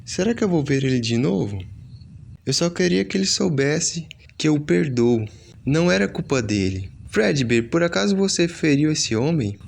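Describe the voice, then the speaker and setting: A man voicing a sad, forgiving ghostly little boy in a gentle, questioning way for a horror story. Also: on the high side, animated, normal speed, creaky, a little hiss or hum. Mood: sad, innocent.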